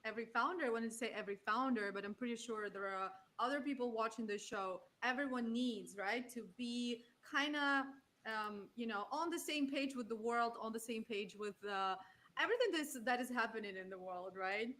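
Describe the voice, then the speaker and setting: A woman's voice: a little high, moderate pace, a bit bright. A woman from Ukraine, co-hosting a casual live-stream chat. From Odessa, Ukraine. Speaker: show co-host.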